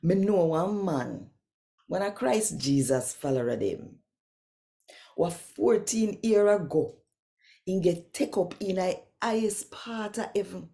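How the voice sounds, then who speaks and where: A woman's voice: lowish voice, animated, takes it slowly, vocal fry, mellow. A woman with a Jamaican accent hosting and reading scripture devotionally, speaking conversationally and straight to the listener. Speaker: host and scripture reader.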